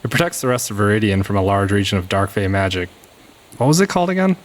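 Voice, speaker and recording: A man's voice: on the low side, very animated, fast. A man playing a blunt, wisecracking rogue with a casual, offhand manner in a fantasy audio drama. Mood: casual, curious.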